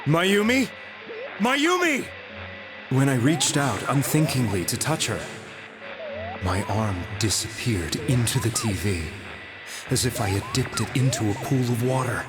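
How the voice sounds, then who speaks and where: An actor playing a despondent, guilt-ridden young man in a video game, telling his story in a grave, halting way. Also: mid-range voice, very animated. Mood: desperate calling. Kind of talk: dramatic video game voice acting, confessional monologue. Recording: background music under the voice.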